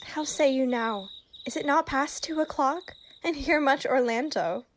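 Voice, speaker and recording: Witty teasing young noblewoman in disguise acted by a woman, brisk shakespeare radio play style. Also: high-pitched, slight background noise. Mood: impatient.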